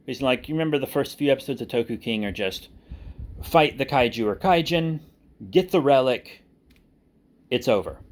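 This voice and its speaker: A man's voice: medium pitch, animated, normal speed, long pauses. A man giving a casual, rambling update to viewers as an online creator, informal and often correcting himself as he thinks aloud. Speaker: online video creator and writer.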